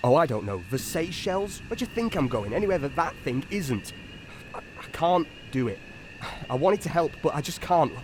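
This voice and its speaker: A man's voice: on the high side, sing-song, normal speed, a little mellow, a little hiss or hum, poor audio. A man acting in a radio drama as a wry, anxious science officer aboard a submarine, chatty and dryly sarcastic. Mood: panicked, sarcastic.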